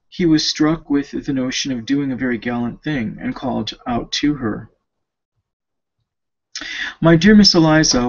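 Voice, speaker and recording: A man reading a classic novel aloud as an amateur, with a creaky voice and a halting delivery that stumbles over words. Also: mid-range voice. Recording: dull lo-fi home recording.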